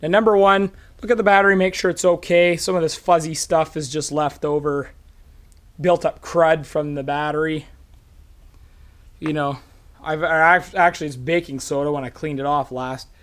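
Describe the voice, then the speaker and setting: A hoarse man hosting a car how-to video, casually walking around his truck outdoors and explaining each step to the viewer while holding the camera in one hand. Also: a little high, moderate pace. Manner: relaxed, chatty, talking to the viewer while working. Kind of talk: casual hands-on demonstration. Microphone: camera held in one hand.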